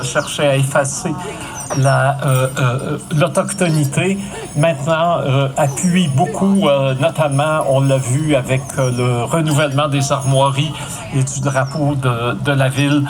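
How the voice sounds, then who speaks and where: A man hosting an outdoor event, improvising in a hesitant, halting way. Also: medium pitch. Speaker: event host. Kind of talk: improvised introduction of speakers. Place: outdoor event at an old port. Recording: outdoor event with music behind.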